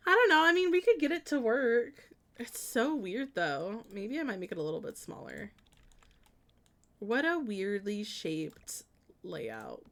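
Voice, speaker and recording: A woman streaming live, musing out loud as she works something out, often trailing off and starting her sentences over. Between those hesitant stretches she turns friendly and chatty with her viewers. Her pitch sits in an ordinary middle range for a woman. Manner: thinking aloud, hesitant and meandering, with frequent trailing restarts; chatty and friendly when greeting and answering viewers. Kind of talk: casual live-stream chat while building in a life-sim game.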